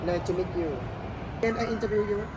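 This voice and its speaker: A young woman from Vietnam with a Vietnamese accent, giving a halting student presentation in English as a second language, often restarting words. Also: mid-range voice, heavy background noise. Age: young adult. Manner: hesitant, broken word starts. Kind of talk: halting rehearsed English class presentation and interview.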